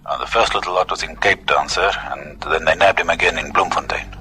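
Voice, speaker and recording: A deferential police warrant officer in an old radio drama. The voice is very deep yet forceful and bright, reporting dutifully to a superior on a noisy recording. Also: male voice, a little fast, slightly rough, exaggerated voice. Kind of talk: vintage radio crime drama acting.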